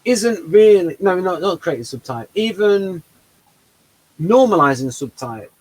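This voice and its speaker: A man hosting a casual discussion, making quick, rambling arguments about personality types. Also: sits high, animated, a little mellow, low-quality recording. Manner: fast, rambling, argumentative explanations. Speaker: host.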